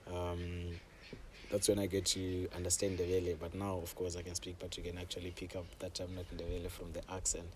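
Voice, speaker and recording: A young man from Zimbabwe, a safari camp host, telling his story in a relaxed way with frequent fillers, interviewed outdoors on a camp deck. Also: low voice, a little fast, a little hiss or hum. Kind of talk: conversational interview.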